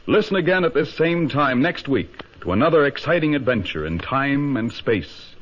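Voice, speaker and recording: A man's voice: medium pitch, expressive, moderate pace, a bit bright, slight background noise. A man with an American accent announcing credits in a dramatic 1950s radio style. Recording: dull 1950 radio broadcast recording.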